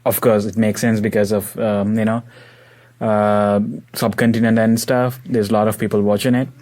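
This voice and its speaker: A man's voice: on the low side, level tone, moderate pace, a little mellow. A young man hosting a casual interview, chatting informally and adding explanatory asides for listeners. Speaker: podcast host.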